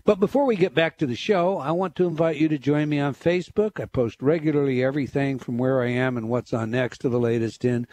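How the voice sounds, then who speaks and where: A man's voice: mid-range voice, a little mellow, dry recording. A man hosting a talk radio show, introducing a guest and reading promotions with frequent hesitations.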